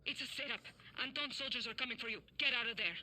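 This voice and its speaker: A woman playing a defiant female rebel leader in a game cutscene, sharp and taunting. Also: fairly high pitch, fast talker. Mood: urgent. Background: game score music.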